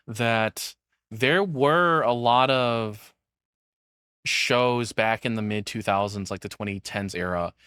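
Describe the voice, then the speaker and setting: A man's voice: medium pitch, a bit bright, no room sound, studio quality. Rambling man giving casual reaction commentary. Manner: rambling, thinking aloud, casually profane. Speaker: streamer reacting to a video.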